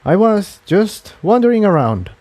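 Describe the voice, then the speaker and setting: Man playing an uneasy, apologetic pony adventurer, mellow. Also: high voice, big pitch swings, unhurried, pitched above the performer's own voice. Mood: nervous, apologetic. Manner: hesitant, apologetic.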